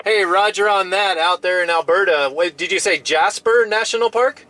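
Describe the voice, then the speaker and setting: A man with a fairly high voice chats amiably from inside his parked truck as a mobile radio operator. He uses casual radio lingo and tends to double up his phrases. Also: normal speed, pushed and bright. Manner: friendly, chatty, often repeats himself. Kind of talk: casual radio contact chat, using operator jargon.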